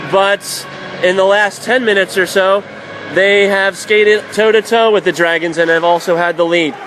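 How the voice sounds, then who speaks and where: A male hockey announcer with a high-pitched voice gives live radio play-by-play. His calls are clipped and excitable. Loud rink noise runs behind him. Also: normal speed. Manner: excitable on shots, clipped present-tense calls. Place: indoor ice hockey arena.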